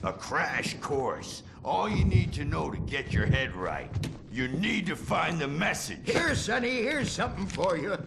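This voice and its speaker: An actor playing a crazed, rowdy old man in a video game, conspiratorial and erratic, prone to drawn-out shouts. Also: on the high side, sing-song, vocal fry, heavily acted. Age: older. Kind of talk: video game character acting. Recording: loud background ambient noise.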